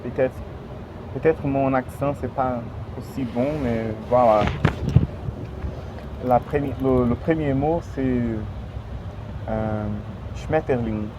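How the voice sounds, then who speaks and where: Slow, halting man. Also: mid-range voice. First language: Portuguese. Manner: halting, searching for words, many fillers. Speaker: language learner. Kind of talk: casual vlog monologue. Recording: dull lo-fi outdoor recording with street noise.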